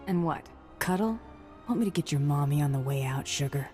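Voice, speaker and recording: A woman's voice: low-pitched, expressive, fairly quick, darkish tone, faint music behind. A woman voicing a tough, sardonic mercenary in a video game, with a dry, curt delivery. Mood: mocking. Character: tough, sardonic female bounty hunter, mercenary and flippant.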